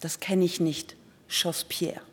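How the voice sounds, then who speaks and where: An actress playing a haughty, dismissive duchess in a radio drama, with a breathy voice. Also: female voice, lowish voice, little pitch movement, unhurried.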